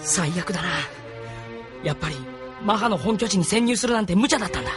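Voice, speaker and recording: An actor playing an anxious, hot-tempered young resistance fighter in a Japanese anime audio drama, exasperated and earnest. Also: male voice, high-pitched, fast, music in the background, close to an everyday voice. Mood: dismayed.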